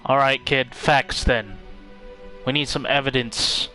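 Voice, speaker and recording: A man's voice: medium pitch, fairly even intonation, slow pace, over music. A man doing casual game-streaming commentary, playfully talking back to the characters.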